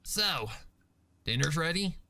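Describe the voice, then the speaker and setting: A man's voice: fairly high pitch, lively intonation, slow, sharp tone, pitched above the performer's own voice, exaggerated voice. A man voicing a brash, informal young female pegasus pony in a storybook reading. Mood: upbeat. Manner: informal, offhand.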